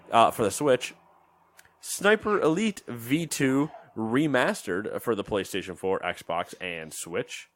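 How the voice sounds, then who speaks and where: A man's voice: on the low side, no echo. A man co-hosting a gaming podcast in casual chat, rambling and joking, often trailing off into mumbles. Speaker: podcast co-host.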